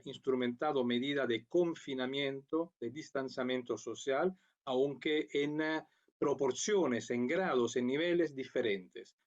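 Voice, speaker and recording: Italian man giving a measured remote talk. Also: a little high, a little mellow, highs cut off. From Italy. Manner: measured, with frequent fillers and restarts. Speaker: program coordinator. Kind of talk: conference talk. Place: at home or office, remote.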